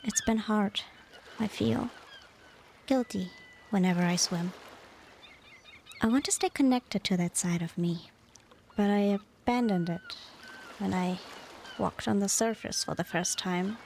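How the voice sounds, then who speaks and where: A woman plays a devoted, nostalgic mermaid wife in a romantic ASMR audio drama. Her medium-pitched voice is soft and unhurried, often near a whisper, with a creaky edge. Faint ambient noise sits behind her. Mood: hesitant, wistful. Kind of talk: ASMR romantic roleplay, softly spoken.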